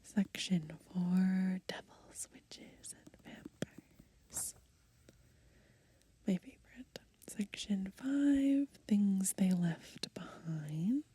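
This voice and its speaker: A woman speaks in a very breathy, mostly unvoiced whisper. Her delivery is slow and gentle, with pauses between short phrases. The tone is soft and mellow, in the hushed style of an ASMR host reading aloud. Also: medium pitch, lively intonation. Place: outdoors, lying in grass in the countryside.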